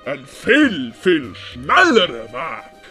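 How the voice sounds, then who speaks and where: A woman voicing a sly, scheming man who wheedles slowly in an audio play. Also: sits low, huge pitch swings, sharp tone, faint music behind, voice pushed down, exaggerated voice. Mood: sly, coaxing. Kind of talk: German-language audio play acting.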